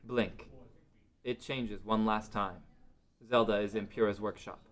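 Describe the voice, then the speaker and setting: A male narrator with a fairly low voice. He reads scripted stage-setting lines for a dramatic fantasy story. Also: fairly even intonation, a little slow.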